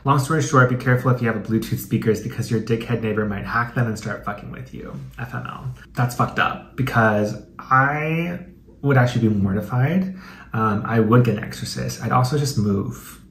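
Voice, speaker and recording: A man's voice: medium pitch, normal speed, a bit bright. A young American man hosting a comedic video, reading aloud and commenting in a chatty, slangy, playfully dramatic way. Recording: echoey room with some background noise.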